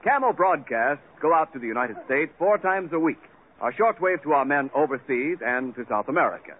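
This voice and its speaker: Man, 1940s radio announcer, formal, fairly high voice, tinny broadcast. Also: animated, brisk. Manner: smooth, formal announcer delivery.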